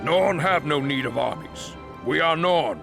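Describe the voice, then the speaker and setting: An actor playing a proud, blunt, boastful warrior hunter in a fantasy video game. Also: male voice, a little high, animated, unhurried, gravelly. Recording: game cinematic with music behind.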